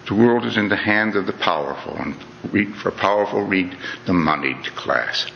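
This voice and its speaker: A man's voice: sits low, lively intonation, normal speed, vocal fry, a little hiss or hum. A middle-aged man giving an earnest, conversational talk, often saying "you know" and restarting his phrases. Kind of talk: reflective lecture to an audience. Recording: dull lo-fi recording in a reverberant hall.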